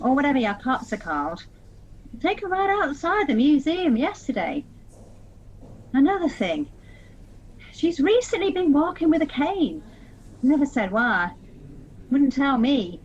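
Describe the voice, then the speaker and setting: A woman acting in an audio play as a chatty, self-deprecating tourist, on a lo-fi recording. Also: high voice. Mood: puzzled, matter-of-fact. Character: chatty American woman tourist, art lover, self-mocking, searching for sister. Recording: dull lo-fi sound, faint noise.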